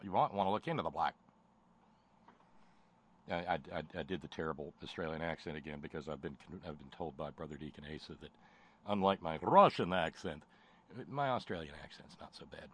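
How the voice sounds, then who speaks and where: A man's voice: low voice, a bit bright. A man giving sarcastic running commentary over a hearing. He mocks it, piles up slangy insults and stammers on repeated words. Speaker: livestream commentator.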